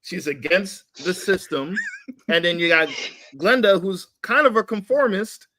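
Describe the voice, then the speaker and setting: Guy on a podcast, kinda high bright voice, lively and opinionated, talks real casual. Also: unhurried. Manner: opinionated, colloquial, emphatic. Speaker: podcast panelist.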